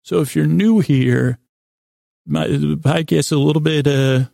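Male bedtime podcast host: gravelly and dry-sounding, halting, goofy, meandering improvised monologue. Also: fairly high pitch, warm tone, dry recording. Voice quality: creaky, gravelly.

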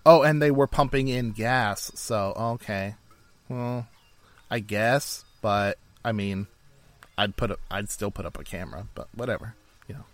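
A man's voice: on the low side, unhurried, no room sound. A man co-hosting a podcast in casual, joking, informal banter. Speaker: podcast co-host.

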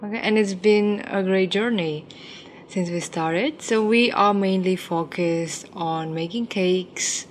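A woman's voice: medium pitch, takes it slowly, slight background noise. A young woman from Ukraine, a raw pastry chef and founder, answering interview questions with many fillers and restarts.

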